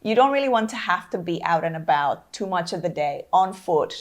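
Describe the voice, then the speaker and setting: A woman's voice: fairly low pitch, expressive, a little fast. Bubbly young woman, playful quips. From Dominican Republic. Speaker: board game channel co-host. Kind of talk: casual travel chat.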